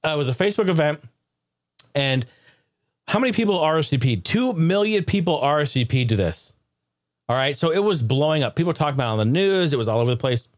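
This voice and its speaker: Animated male podcast host, close dry sound. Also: on the high side, quick pace, dry recording. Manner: jokey, excitable, informal with swearing. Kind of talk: casual comedic podcast chat.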